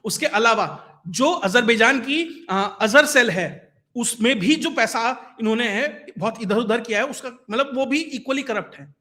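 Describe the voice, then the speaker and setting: A man with a notably high, bright, forceful voice. He delivers political commentary in a colloquial way, explaining points to the viewer and stressing them with rhetorical asides. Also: a little slow. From India. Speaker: YouTube news commentator.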